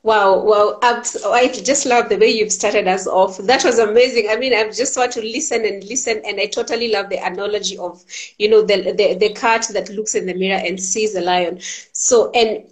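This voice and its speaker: A woman in her thirties hosts a live interview from home, speaking into a phone held at arm's length. She opens with a prayer and keeps urging her guest on. Her voice sits at an ordinary pitch. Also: fairly even intonation, normal speed. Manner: warm, encouraging, prayerful. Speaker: host.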